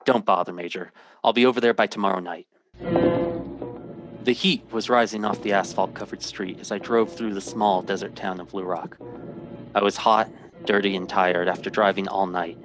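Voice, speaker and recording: A man's voice: lowish voice, fairly even intonation, moderate pace, over music, close to an everyday voice, low-quality recording. An actor playing a worried, impatient American man who searches for his missing brother and narrates it in radio drama style, earnest and clipped. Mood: impatient, indignant. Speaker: lead actor and first-person narrator.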